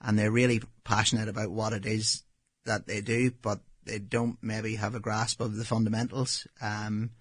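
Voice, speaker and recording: A Northern Irish man in his thirties, a restaurant owner answering interview questions in a relaxed, matter-of-fact way with frequent ums. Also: fairly low pitch, normal speed, breathy edge, dry recording, clean studio sound. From Northern Ireland.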